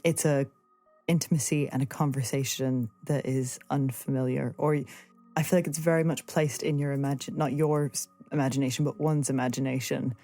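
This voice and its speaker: A woman's voice: very deep voice, fairly even intonation, brisk, dark tone, soft background music. A woman interviewer in a reflective arts conversation, hesitant and searching as they rework their phrases aloud.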